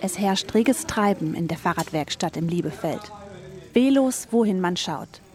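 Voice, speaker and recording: A woman radio reporter reading a scripted news feature in a clear, even way. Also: on the low side, normal speed.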